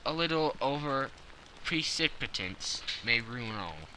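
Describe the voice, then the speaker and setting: A man voicing a confident, analytical gentleman detective who explains things precisely and with self-satisfaction in an audiobook. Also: medium pitch, animated, takes it slowly, background noise. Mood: self-satisfied, explaining. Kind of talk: audiobook character acting.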